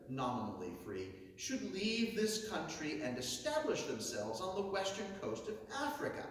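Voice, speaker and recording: A man delivers a theatrical nineteenth-century oration in an emphatic, declamatory manner, pausing for effect. His delivery swings widely in pitch. He is heard at a distance from the mic in a hugely reverberant hall. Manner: declamatory, emphatic, rhetorical, with dramatic pauses. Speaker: orator reciting a historic speech.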